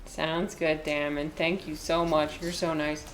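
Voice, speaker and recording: A woman's voice: sits low, level tone, measured pace, a crowd in the background. Chatty, giggly woman in her twenties. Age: 20s. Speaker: streamer. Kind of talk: casual gameplay commentary. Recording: echoey room with faint noise.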